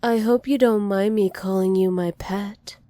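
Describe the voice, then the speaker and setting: A dominant, possessive villainess played by a woman in an ASMR roleplay. Her voice is dark and mellow at a middling pitch, recorded very dry. She speaks with soft, teasing menace, doting on her captive while making clear she is in charge. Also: steady tone, a little slow, dry recording, lightly acted. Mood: commanding, possessive. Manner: soft, teasing menace with breathy whispered endearments.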